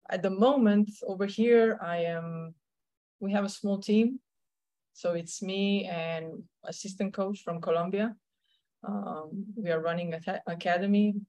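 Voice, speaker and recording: A woman's voice: lowish voice, darkish tone. A woman with a Slovenian accent, a tennis coach telling her story in an interview, with many fillers and restarts. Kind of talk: conversational interview answers.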